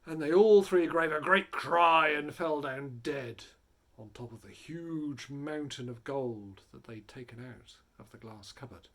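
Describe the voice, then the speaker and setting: A man reading a story aloud in a theatrical, dramatic way, building and hushing for effect. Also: a little high, measured pace. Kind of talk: animated dramatic storybook narration.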